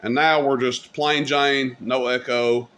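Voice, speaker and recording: A man's voice: medium pitch, flat delivery, slow, a bit bright. A man giving a casual, folksy, rambling hands-on demonstration as a hobbyist video host. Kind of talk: casual hands-on product demo. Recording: clear background noise.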